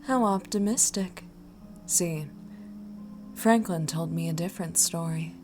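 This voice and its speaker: A woman's voice: on the low side, darkish tone, soft background music, natural voice. A woman playing a cold, menacing mercenary boss in an audio drama, condescending and mockingly polite. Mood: mocking, smooth. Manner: deliberate, condescending, breathy at times.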